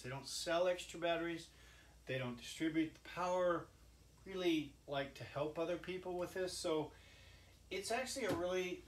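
Older man in a noisy, echoey workshop. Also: fairly high pitch. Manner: halting, folksy, informal. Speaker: inventor demonstrating a homemade product. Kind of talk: casual product demonstration. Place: indoor cluttered home workshop. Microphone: camera mic a few steps away. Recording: echoey workshop with background noise.

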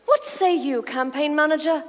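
High, haughty schoolboy rival, played by a woman. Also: moderate pace, close to an everyday voice. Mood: earnest, musing. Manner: pompous, theatrical, old-fashioned phrasing. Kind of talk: comic musical staged reading. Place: on stage in a large hall. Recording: echoey live hall, music behind.

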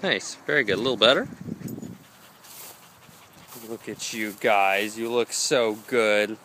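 A man's voice: lowish voice, takes it slowly, pushed and bright, slight background noise. A young man filming a casual vlog with a handheld camera, coaching a frisbee throw and chatting to his dogs. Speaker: dog trainer vlogger. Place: gym, park and home, handheld camera. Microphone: handheld camera mic.

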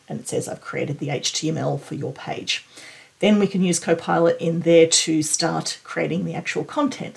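A woman in her forties with a noticeably husky, gravelly-edged voice. She talks viewers through a software demo in an informal, conversational way, sounding keen about what she shows. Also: low-pitched. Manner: enthusiastic, informal. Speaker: tech presenter. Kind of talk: explainer screen-demo commentary, conversational.

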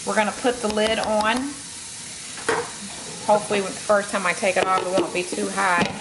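A woman's voice: mid-range voice, flat delivery, normal speed, heavy background noise. A middle-aged woman chatting casually to viewers while cooking in her home kitchen. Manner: chatty, addresses viewers directly. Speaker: fitness vlogger. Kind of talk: casual cooking vlog chat.

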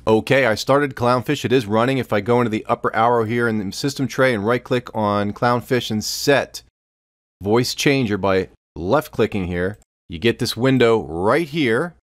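A man in his fifties hosts a software tutorial in an informal, step-by-step way. His voice sits at a middle pitch. He is recorded close and dry in a small room. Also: moderate pace, dry recording. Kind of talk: instructional screen tutorial. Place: small home studio room, indoors.

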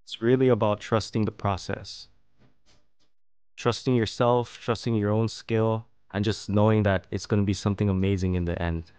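A man narrating a fish-cutting and plating tutorial as host, explaining each step calmly. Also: lowish voice, moderate pace, smooth, no echo. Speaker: fishing and cooking channel host. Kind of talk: calm instructional voice-over.

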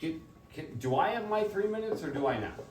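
A man's voice: on the high side, expressive, fairly quick, a crowd in the background. Insistent man challenging officials in echoey room. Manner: insistent, challenging, repeats himself. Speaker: member of the public at a hearing. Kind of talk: argumentative questioning at a public meeting. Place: public meeting room. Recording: echoey room, far from mic, noisy.

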